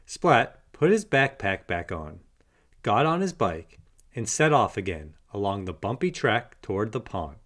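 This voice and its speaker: Slow, animated male storybook narrator. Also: fairly low pitch. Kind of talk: children's read-aloud narration.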